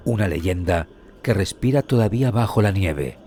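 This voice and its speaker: A man narrating a scripted mystery story in a solemn, dramatic manner with deliberate pauses and a husky voice. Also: deep voice, expressive, mellow, slight background noise. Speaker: podcast narrator. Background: background music.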